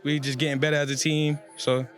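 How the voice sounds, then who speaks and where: Young man, football player, flat delivery, quick talk, laid-back and slangy. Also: a little high, soft background music. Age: young adult. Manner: laid-back, slangy, lots of you know. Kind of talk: casual interview.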